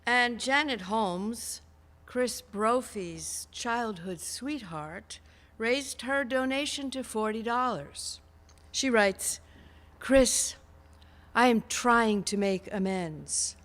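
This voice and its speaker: A woman playing a radio show assistant who reads donor messages in a deliberate, deadpan way, in a reverberant hall. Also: fairly high pitch. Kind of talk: radio theater reading. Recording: echoey hall with long reverb.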